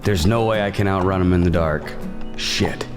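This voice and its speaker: Man playing a gruff, deep-voiced old-west bounty hunter. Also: moderate pace, natural voice. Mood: wary. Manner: terse, hard-bitten, profane. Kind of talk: western horror audio drama acting. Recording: music bed behind the voice.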